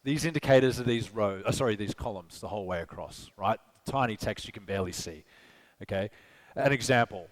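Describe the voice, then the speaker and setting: A man's voice: lowish voice, a little fast. Australian man giving a keynote. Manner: conversational, rhetorical questions, frequent "okay?" and "right?". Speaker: conference speaker. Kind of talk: live keynote presentation. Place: conference stage.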